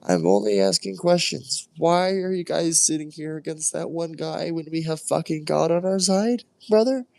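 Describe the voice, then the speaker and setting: A man's voice: a little high, animated, normal speed, gravelly, few pauses, darkish tone, no room sound, voice pushed up. A man voicing an earnest young shepherd boy who defends himself and boasts of his courage. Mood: defiant.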